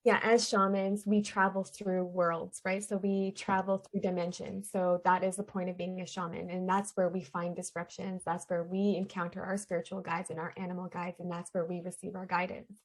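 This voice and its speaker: A woman's voice: on the low side, little pitch movement, moderate pace, hardly any pauses, rough recording. A woman from Canada, a guest on an interview podcast, earnestly explaining her spiritual healing practice in a conversational way. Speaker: interview guest, shaman and energy healer.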